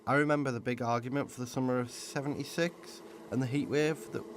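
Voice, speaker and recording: A man performs a laid-back male spirit of summer at an average pitch. He is cheeky and casually sarcastic. Clear noise and buzzing insects sit behind this amateur radio play voice. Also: fairly even intonation, a little fast, close to an everyday voice. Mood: teasing.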